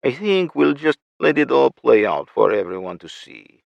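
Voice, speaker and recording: Smug russian villain guy gloating over the radio, as a man puts him on, slow and raised, gravelly, muffled lo-fi sound. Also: medium pitch, very animated, dry recording, pitched above the performer's own voice. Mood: mocking. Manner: taunting, drawn-out and mocking. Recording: muffled lo-fi recording.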